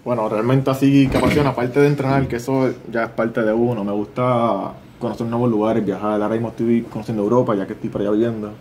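A young man with a mixed Puerto Rican and Dominican accent, answering interview questions in a shy, modest, soft-spoken way. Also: medium pitch, level tone, fast, few pauses, a little hiss or hum. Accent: Puerto Rican and Dominican mix. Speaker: interview guest. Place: small studio with brick-look wall. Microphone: desk boom mic.

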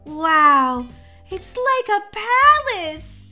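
A woman playing an eager, expressive young wife hunting for a first home in a game roleplay story. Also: high voice, extremely slow, faint music behind, full character voice. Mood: excited.

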